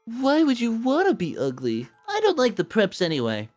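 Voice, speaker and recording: A woman's voice: a little high, wildly swooping pitch, moderate pace, a little mellow, no room sound, faint music behind. A woman voicing lovestruck men and a brooding boyfriend in a melodramatic parody fanfiction. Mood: tender. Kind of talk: mock-dramatic fanfiction reading.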